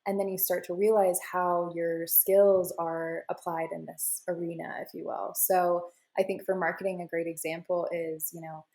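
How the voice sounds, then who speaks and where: A woman gives confident advice as an interview guest, leaning on "you know" fillers. Her voice is low and held in a flat, even tone. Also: moderate pace, few pauses. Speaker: guest, crypto media founder. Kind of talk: casual interview answers.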